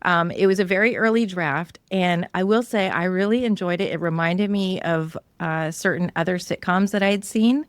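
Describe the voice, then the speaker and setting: A woman's voice: lowish voice, normal speed, few pauses, no echo. A woman hosting a podcast, talking in a casual interview style. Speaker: podcast host.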